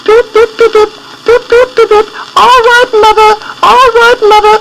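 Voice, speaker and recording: Tiny, obedient storybook chicks, peeping in a very high, squeaky chorus. The sound is repetitive and chirpy. It plays from an old children's record with clear noise. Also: male voice, little pitch movement, moderate pace, bright, forceful tone, full character voice.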